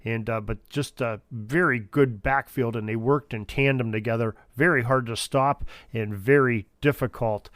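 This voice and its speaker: A man's voice: medium pitch, steady tone, moderate pace, few pauses, fairly bright tone, no echo. A man hosting a sports history podcast, talking informally in a rambling, folksy way. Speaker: podcast host.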